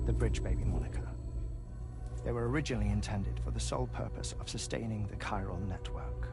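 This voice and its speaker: An actor playing a fussy middle-aged scientist in a video game, erudite and gently theatrical, with formal, deliberate, wordy diction. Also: male voice, medium pitch, creaky. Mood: earnest explaining. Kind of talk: scripted video game cutscene exposition. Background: music.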